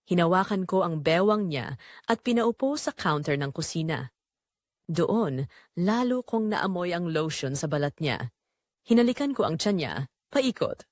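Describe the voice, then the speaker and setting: A woman reading a scripted first-person audio drama in a dramatic, measured way with short pauses. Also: lowish voice, no echo, clean studio sound. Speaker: narrator.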